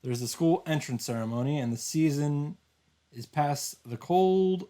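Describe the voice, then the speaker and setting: A man's voice: on the high side, lively intonation, unhurried, mellow. A man reading visual novel narration aloud as a streamer, with informal, casual reactions. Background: game music.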